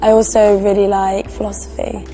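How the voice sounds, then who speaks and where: A woman's voice: medium pitch, level tone, normal speed, over music, close to an everyday voice. An actress playing a friendly, slightly shy young woman on a date in a dating game, hesitant and agreeable. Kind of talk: casual conversational acting in a dating game.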